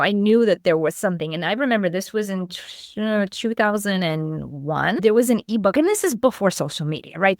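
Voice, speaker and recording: A woman's voice: medium pitch, no echo, studio quality. A middle-aged woman from Lithuania telling a personal story conversationally as an interview guest, acting out bits of dialogue as she goes. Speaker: podcast guest, clinical nutritionist.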